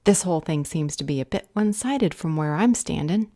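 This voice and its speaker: A woman playing a defiant, possessive, sharp-tongued vampire healer in an audio drama, speaking in a cutting, deliberate way. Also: sits low, lively intonation, dry recording, clean studio sound. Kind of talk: dramatic audio roleplay acting.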